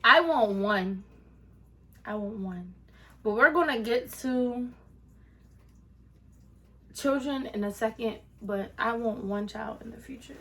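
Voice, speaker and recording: A woman's voice: a little high, slow, long pauses, bright, forceful tone. A woman of twenty-one answering viewer questions in a casual vlog, chatty with sassy asides to her viewers. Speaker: vlogger.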